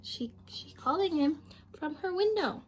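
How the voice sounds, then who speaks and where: A woman's voice: very high-pitched, lively intonation, unhurried, slight background noise, rough recording. A young woman reading a storybook aloud to children, speaking simply and expressively and prompting her listeners with questions. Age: young adult.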